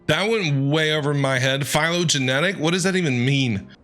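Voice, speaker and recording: A man's voice: on the high side, moderate pace, fairly bright tone. A man streaming a game playthrough, reacting aloud in a casual, jokey way. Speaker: gaming streamer. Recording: game music behind the voice.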